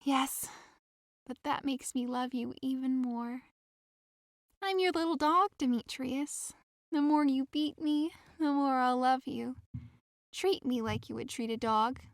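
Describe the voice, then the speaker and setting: A woman's voice: sits high, unhurried, dry recording, studio quality. A woman playing a lovesick, devoted young woman in an audio drama, pleading earnestly and dramatically. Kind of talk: theatrical audio drama acting.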